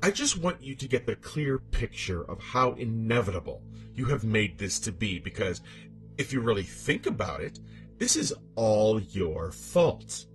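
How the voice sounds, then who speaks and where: Man voicing a vengeful demonic scorned wife, dramatically swinging pitch. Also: big pitch swings, measured pace, faint music behind. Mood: gloating menace. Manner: theatrical, taunting, swinging between hushed menace and outrage. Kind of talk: dramatic horror story monologue.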